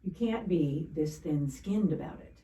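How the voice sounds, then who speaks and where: A woman's voice: deep voice, unhurried, mellow. An actress playing a composed, blunt and bossy older woman in a naturalistic audio drama. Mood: brisk, cutting. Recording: distant, echoey room, faint noise.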